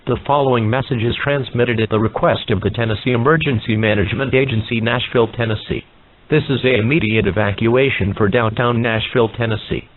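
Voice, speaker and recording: A man reading a scripted emergency broadcast announcement in a formal, measured manner, as an alert announcer. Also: mid-range voice, steady tone. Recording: muffled narrowband radio-like sound.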